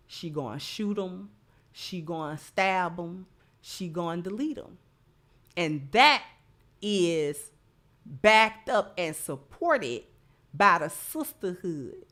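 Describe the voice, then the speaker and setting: Slow-paced American woman giving opinionated commentary. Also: fairly low pitch, fairly bright tone. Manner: emphatic, repeats phrases for stress. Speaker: host of a commentary show.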